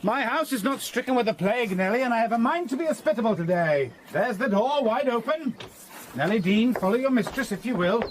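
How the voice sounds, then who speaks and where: A man's voice: fairly high pitch, very animated, normal speed, fairly bright tone, a little hiss or hum. An actor playing a menacing, sardonic man in a period drama, shifting from mock courtesy to barked orders. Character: menacing, sardonic master of a moorland house, violent temper. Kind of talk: period radio drama acting.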